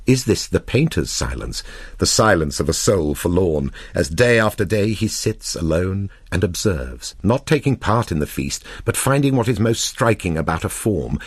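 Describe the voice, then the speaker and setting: A man narrating a literary short story in a measured, expressive storytelling manner with deliberate pauses. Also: low-pitched, husky, no echo, clean studio sound.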